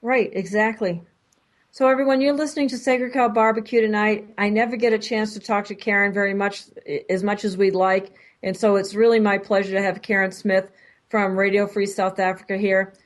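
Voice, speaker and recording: A woman's voice: mid-range voice, steady tone, fairly quick. A woman hosting a radio talk show, chatting agreeably with her guest and often repeating words as she speaks. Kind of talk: casual radio interview chat.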